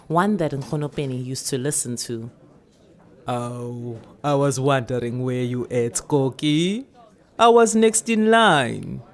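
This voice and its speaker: A South African woman narrates a short story in a low voice. Her reading is expressive and deliberate, moving widely in pitch for dramatic effect.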